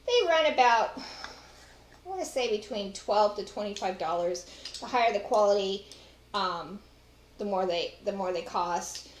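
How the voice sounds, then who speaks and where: A woman's voice: mid-range voice, expressive, a little slow, a little hiss or hum. A middle-aged woman with a Texas accent, chatting to viewers as she demonstrates a recipe in her home kitchen. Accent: Texan. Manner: chatty, talks to viewers while working. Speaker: cooking show host. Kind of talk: casual cooking demonstration. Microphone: far camera. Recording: echoey kitchen with clattering dishes.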